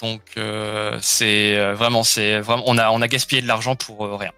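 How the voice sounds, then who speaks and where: A man's voice: lowish voice, level tone, normal speed, pushed and bright, clean tone, no echo, soft background music. A man taking part as a guest in a casual chat, hesitant and hunting for words as he describes things. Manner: hesitant, lots of "euh" and restarts.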